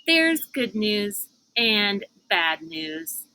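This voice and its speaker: A woman's voice: mid-range voice, very animated, very slow, bright, forceful tone, heavily acted, low-quality recording. A woman voicing a pushy older girl from a storybook, smug and taunting. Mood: smug, gloating. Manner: gloating, taunting. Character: smug, pushy older girl who bullies younger children. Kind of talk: storybook character voice.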